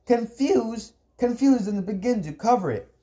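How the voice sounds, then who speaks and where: A stubborn, scared teenage boy, played by a male narrator, protests in indignant shouts. The pitch is high and swoops with every demand, as in an adventure audiobook. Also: animated, measured pace. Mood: indignant, shouting.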